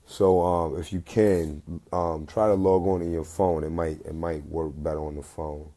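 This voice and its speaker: A man's voice: low voice, little pitch movement, normal speed, dark tone. A man hosting a casual live talk show from his phone, rambling with many fillers and restarts. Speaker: host.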